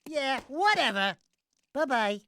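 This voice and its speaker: An actor playing a wisecracking, cocky little rat-like sidekick in a video game, sarcastic and excitable. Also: male voice, very high voice, slow pace, noisy background, heavily acted. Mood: flippant. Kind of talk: cartoonish video game acting.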